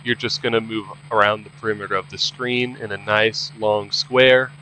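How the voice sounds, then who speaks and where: A man's voice: fairly low pitch, fairly even intonation, moderate pace, sharp tone, a little hiss or hum, low-quality recording. A man giving casual walkthrough commentary for a video game. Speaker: game guide narrator.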